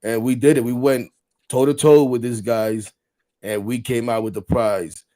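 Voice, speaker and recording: A man in his forties hosting a casual sports talk show, animated and rambling, repeating words and laughing often. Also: medium pitch, normal speed. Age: 40s. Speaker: podcast host.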